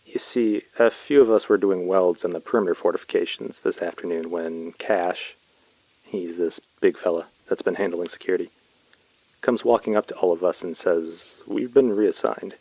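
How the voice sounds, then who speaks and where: An informal, talkative colony labourer leaving a message in a science fiction audio drama. He has a low voice and a conversational storytelling manner. The sound is dry and slightly dull. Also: moderate pace, dark tone, dry recording. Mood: chatty, recounting. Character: chatty young male colony labourer messaging his brother.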